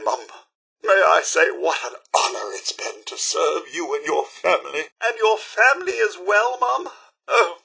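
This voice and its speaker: A fussy robot butler from a video game, British and impeccably formal. The voice sits high and swoops theatrically, with a bright, forceful edge. He is loyal and deferential, with dry sarcasm never far away. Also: creaky, breathy edge, full character voice. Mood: earnest, polite. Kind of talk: scripted video game voice lines.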